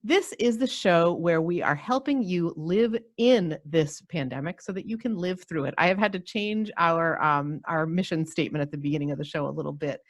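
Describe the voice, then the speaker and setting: A woman's voice: lowish voice, normal speed, few pauses, no room sound, clean studio sound. A middle-aged woman hosting a podcast, chatty and enthusiastic, rambling a bit as she interviews her guest. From United States. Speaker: podcast host.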